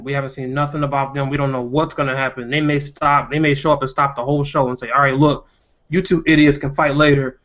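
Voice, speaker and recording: A man's voice: medium pitch, a bit bright. A man chatting casually in a group discussion, conversational and given to acting out imagined dialogue. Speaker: podcast panelist. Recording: dull lo-fi recording.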